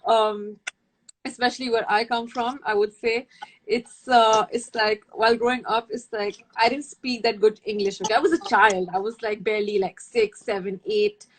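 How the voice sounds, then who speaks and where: A woman's voice: fairly high pitch, steady tone, moderate pace, a little hiss or hum, low-quality recording. A young woman from India, a native Hindi speaker, chatting casually as a livestream guest and rambling with many fillers and restarts. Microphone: phone or video call.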